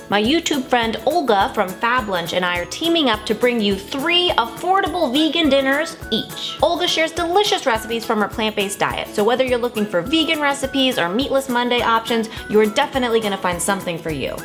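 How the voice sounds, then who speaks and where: Bubbly high-voiced woman. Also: a bit bright. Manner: bubbly and enthusiastic. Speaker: cooking channel host. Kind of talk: cooking vlog narration.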